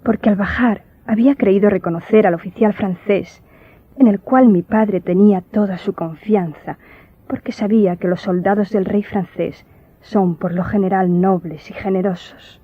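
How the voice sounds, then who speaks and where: An actress playing a sorrowful young woman recalling childhood horror, telling it gravely and wistfully in a radio drama. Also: medium pitch, steady tone, moderate pace, dry recording, lightly acted. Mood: fearful remembering. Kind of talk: Spanish radio drama acting. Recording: dull lo-fi audio, faint music.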